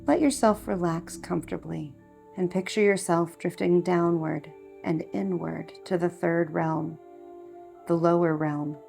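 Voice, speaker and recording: A woman reading a scripted guided meditation in a calm, measured, hushed way, as a narrator leading the listener. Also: fairly low pitch, gravelly, faint music behind.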